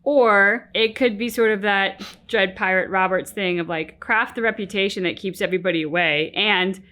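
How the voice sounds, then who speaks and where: A woman's voice: medium pitch, normal speed, pushed and bright. A woman co-hosting a game reaction, talking informally and analytically as she thinks through her impressions. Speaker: reaction channel host. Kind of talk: casual commentary chat on a video game.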